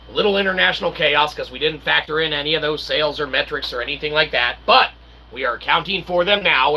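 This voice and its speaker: A man's voice: on the high side, gravelly, pushed and bright, breathy edge, low-quality recording. A man giving a rambling informal monologue in mock-formal, wordy phrasing, often checking in with a short questioning tag. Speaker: channel host and self-published author.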